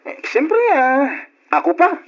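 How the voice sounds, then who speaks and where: A young male college student, upbeat and playful, with a high voice that swings widely in pitch. His accent is Ilocano and his delivery is old radio drama. The sound is muffled with faint noise. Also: expressive, takes it slowly, a bit bright, heavily acted. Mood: excited, playful. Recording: noisy, muffled lo-fi radio recording.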